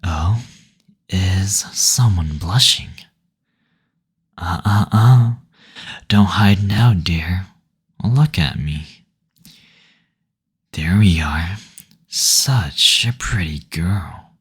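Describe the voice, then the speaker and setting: A man playing a teasing, gentle male demon in an intimate roleplay audio, speaking softly and breathily, often near a whisper, in a tender, unhurried manner. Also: low voice, slightly rough, exaggerated voice. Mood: playful teasing.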